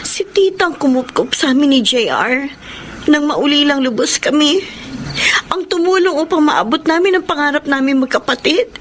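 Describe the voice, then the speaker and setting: Young Filipino wife and political candidate: fairly high voice, heartfelt delivery, radio drama, slight background noise. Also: female voice, normal speed, a bit bright. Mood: troubled, torn. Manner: earnest, emotional. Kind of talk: Tagalog radio drama acting.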